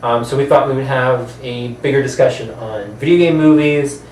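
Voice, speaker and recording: A man's voice: medium pitch, steady tone, normal speed, a little mellow. A man co-hosting a casual movie chat, speaking informally with some mild swearing. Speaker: co-host. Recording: roomy, distant mic, faint background noise.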